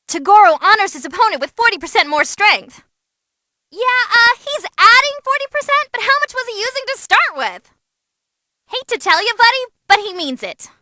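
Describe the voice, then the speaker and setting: An actress voicing an excitable, cheeky young female ring announcer, giving animated, theatrical fight commentary in a video game. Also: extremely high pitch, no echo, clean studio sound, full character voice. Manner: perky, theatrical, wisecracking. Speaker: match commentator.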